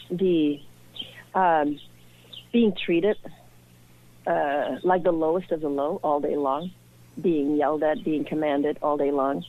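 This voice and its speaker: A woman from Belgium, a guest and author, talking earnestly and reflectively in an interview. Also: sits low. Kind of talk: personal interview. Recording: tinny phone-like line.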